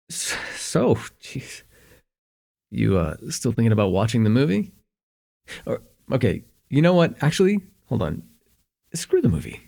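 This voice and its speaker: A man playing a shy, smitten young man in a slice-of-life ASMR roleplay, speaking in a halting, conversational way with nervous stammers. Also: mid-range voice, creaky, darkish tone, full character voice. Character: shy, smitten young man confessing a crush, teasing, tender.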